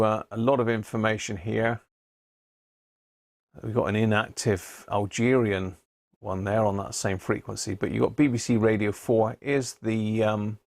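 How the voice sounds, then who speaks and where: Gravelly man chatting on a livestream. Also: fairly low pitch, no room sound, studio quality. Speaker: live stream host. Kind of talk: casual live chat, hobby radio demonstration.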